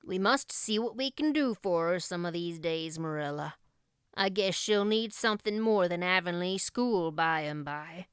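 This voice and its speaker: A woman voicing a gentle, plain-spoken older countryman in an audiobook, with a homely, folksy manner. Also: fairly low pitch, normal speed, clean tone, dry recording, studio quality, natural voice. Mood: gentle, proud. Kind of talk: audiobook character voice.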